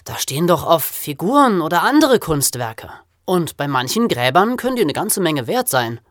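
A woman's voice: deep voice, very animated, moderate pace, dry recording, clean studio sound. A young woman co-hosting a podcast in a conversational, storytelling way. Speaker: podcast co-host.

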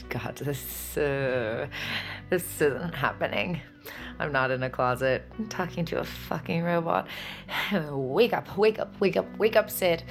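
An anxious young American woman in a naturalistic audio drama. Her low voice has a marked creak, and she talks bluntly and emotionally, like a lover who cannot believe what she hears. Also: slow pace, faint music behind. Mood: distraught.

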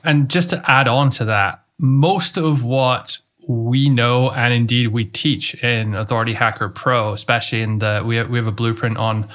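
A man's voice: medium pitch, fairly even intonation, moderate pace, hardly any pauses, a bit bright. A man hosting a podcast interview, talking conversationally and often repeating words as he searches for them. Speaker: podcast host. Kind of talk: casual interview talk.

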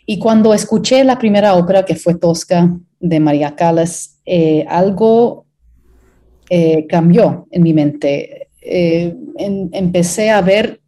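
A woman opera stage director raised in Pennsylvania, answering interview questions thoughtfully with many pauses and restarts. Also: on the low side, mellow. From Pennsylvania, USA.

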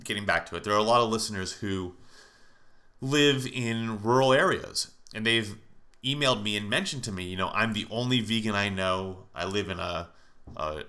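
Nasal, bright-voiced American man podcasting. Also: lowish voice. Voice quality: nasal, congested. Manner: informal, conversational, mildly profane. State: stuffed up with allergies. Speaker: podcast host. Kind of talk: casual solo podcast talk.

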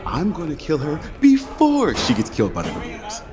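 Frantic theater boss, wildly animated. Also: male voice, fairly high pitch, normal speed, noisy background. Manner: exasperated, commanding. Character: frantic, bossy, hot-tempered theater boss. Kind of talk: scripted comedy audio drama.

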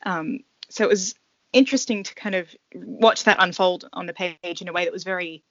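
A woman's voice: lowish voice, normal speed, no echo, muffled recording. A woman hosting a podcast, welcoming guests and asking questions conversationally. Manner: conversational, some fillers. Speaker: podcast host.

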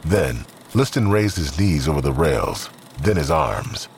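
A man narrating a scripted audio drama in a measured, suspenseful, storytelling manner. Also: very deep voice, big pitch swings, gravelly, a little hiss or hum.

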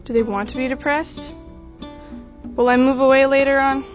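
Higher-voiced woman reading a poem. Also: fairly even intonation, normal speed. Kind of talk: reading her own poem aloud. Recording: slightly dull recording with clear guitar music.

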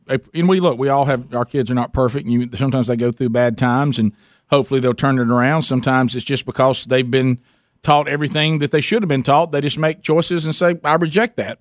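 A man's voice: mid-range voice, no echo, studio quality. A man hosting a call-in radio show, talking conversationally and circling back to rephrase as he explains his point. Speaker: radio talk show host.

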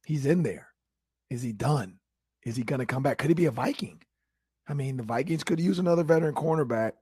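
Hoarse, husky male sports talk host. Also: medium pitch, a little fast, dry recording, clean studio sound. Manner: animated, energetic opinions.

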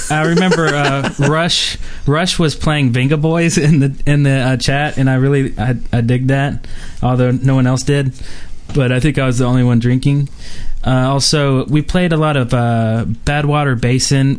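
A man's voice: mid-range voice, steady tone, normal speed, hardly any pauses. A man co-hosting a casual gaming podcast, rambling with lots of fillers and restarts. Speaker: podcast co-host.

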